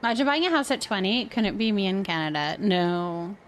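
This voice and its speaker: A woman chatting casually while streaming a game, thinking out loud as she talks to her viewers. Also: mid-range voice, lively intonation, brisk, a little hiss or hum. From California, United States. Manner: chatty, thinking aloud to herself. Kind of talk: casual gameplay stream chat.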